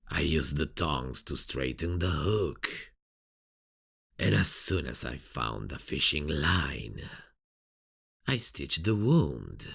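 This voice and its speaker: A man playing a menacing, gloating criminal villain in an ASMR roleplay, with a taunting, theatrical, drawn-out delivery. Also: a bass voice, gravelly, fairly bright tone, slightly rough, heavily acted.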